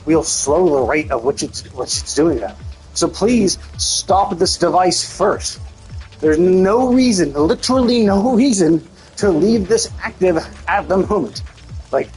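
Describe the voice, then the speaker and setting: A man's voice: high voice, expressive, measured pace, a little mellow, faint music behind, poor audio. A man giving rambling, critical live commentary as he plays, repeating himself for emphasis. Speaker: game streamer. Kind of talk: casual live game commentary. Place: live stream, game music behind.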